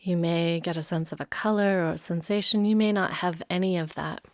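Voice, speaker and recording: A woman leading a guided meditation as a yoga nidra teacher, soothing and unhurried, leaving long pauses. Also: lowish voice, steady tone, dry recording, studio quality.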